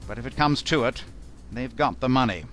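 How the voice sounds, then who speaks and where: A man's voice: mid-range voice, expressive, fairly quick, a bit bright, faint music behind, lightly acted. A man playing a businesslike commander in an audio drama, giving briefings in a formal, composed manner. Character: businesslike male commander briefing mission teams.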